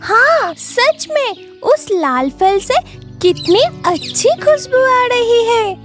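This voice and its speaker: A woman voicing a small, eager female bird who sneaks fruit in a Hindi children's cartoon, with a childlike squeak. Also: sing-song, slow pace, faint music behind, heavily acted. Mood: delighted. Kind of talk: Hindi cartoon story character acting.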